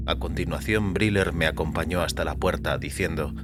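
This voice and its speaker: Audio fiction narrator: man, low register, grave and deliberate, music bed behind.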